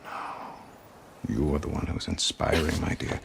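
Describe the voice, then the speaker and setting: A man's voice: extremely low pitch, normal speed, gravelly, noisy background, heavily acted. An actor playing a smug, pompous older male villain in a dramatic video game scene. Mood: flattering. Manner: pompous, theatrical, condescending.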